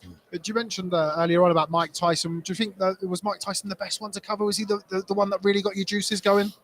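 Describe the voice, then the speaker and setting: A man with a high voice hosts a live boxing show and reads out viewer questions. He rattles along quickly and loosely, full of fillers and small restarts. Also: flat delivery. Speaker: co-host of a boxing news livestream. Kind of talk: livestream hosting, reading viewer questions.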